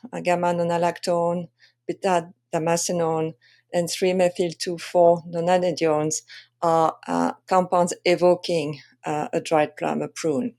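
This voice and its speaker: A woman with a French accent presenting an explanatory lesson as a wine tasting coach, speaking haltingly with frequent pauses. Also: fairly low pitch, darkish tone. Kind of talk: presentation lesson to camera or slides.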